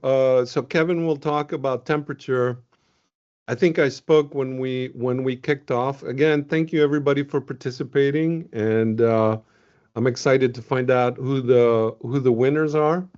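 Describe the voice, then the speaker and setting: A man's voice: medium pitch, a little mellow. A man from Venezuela, a scientist presenting results in a casual webinar, talking loosely with many ums and restarts. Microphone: video call. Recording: muffled video-call audio.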